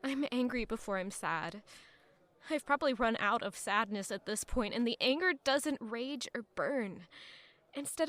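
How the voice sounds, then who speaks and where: A woman's voice: fairly high pitch, fairly bright tone, natural voice. An actress playing a weary, bitter and defiant young woman in an audio drama, carrying guilt as she narrates and speaks. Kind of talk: audio drama acting with first-person narration.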